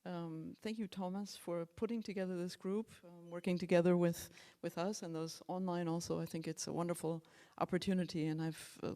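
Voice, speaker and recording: Older woman, choir founder on a panel, mellow voice, measured delivery. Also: lowish voice. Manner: measured, halting with fillers. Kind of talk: roundtable introduction. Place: hall with dark stage. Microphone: handheld mic.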